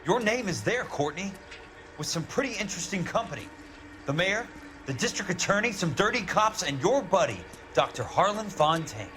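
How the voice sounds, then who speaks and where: A man's voice: sits high, big pitch swings, normal speed, background noise. A man acting a tough, sardonic ex-Marine investigator in a noir video game, blunt and dry. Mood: confrontational.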